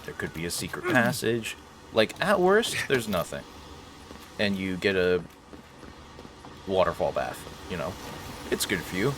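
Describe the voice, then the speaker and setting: Casting: male game streamer, deep voice, casual and playful, mock-heroic asides, clear game noise behind. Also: big pitch swings, measured pace, long pauses. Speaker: host of a game playthrough. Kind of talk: casual joking game commentary. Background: video game sounds.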